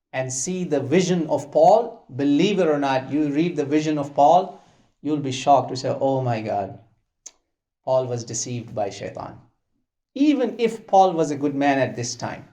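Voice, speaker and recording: Urdu-native male lecturer, emphatic, repeats words while thinking, somewhat high voice. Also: a little mellow. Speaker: Islamic speaker. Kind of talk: religious lecture.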